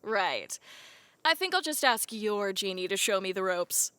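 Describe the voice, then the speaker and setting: A woman's voice: medium pitch, animated, measured pace, pushed and bright, smooth. A woman voicing a guarded young half-genie woman in an audio drama, with dry, sarcastic banter. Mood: wry. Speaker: voice actor. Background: faint background music.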